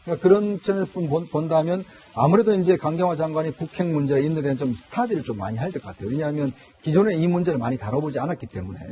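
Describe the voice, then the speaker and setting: A man's voice: fairly high pitch, warm tone, a little hiss or hum. A man giving commentary as a panelist on a news discussion show.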